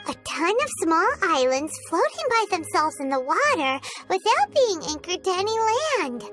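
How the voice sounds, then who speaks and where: A woman voicing a tiny, excitable, childlike fairy companion in a video game, squeaky and perky. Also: moderate pace, heavily acted. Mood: curious. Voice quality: squeaky, shrill. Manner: perky, exclamatory. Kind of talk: video game character acting. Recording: music behind the voice.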